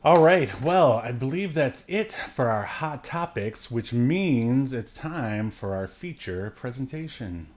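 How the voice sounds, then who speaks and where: A man in his late thirties co-hosting a podcast, chatting casually about films in a halting, rambling way with trailing asides. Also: medium pitch, expressive. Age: late 30s. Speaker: podcast co-host. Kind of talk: casual film review chat.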